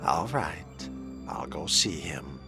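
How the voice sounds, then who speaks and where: A man's voice: very deep voice, sing-song, slow pace, over music. A man narrating a video game in character, with a dry, sardonic and wordy manner. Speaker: gamer role-playing his character.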